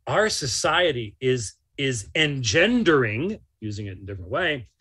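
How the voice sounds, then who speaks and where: Slow, husky man, emphatic commentator. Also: mid-range voice, fairly bright tone. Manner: emphatic, incredulous, repeats phrases for stress. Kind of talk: live unscripted commentary. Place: small motorhome interior.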